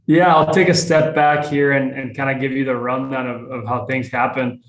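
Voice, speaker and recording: Young guy from costa rica rambling casually, pretty monotone, echoey room. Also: mid-range voice, moderate pace. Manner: relaxed, rambling with many fillers and restarts. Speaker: guest, tequila brand founder. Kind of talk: casual interview storytelling.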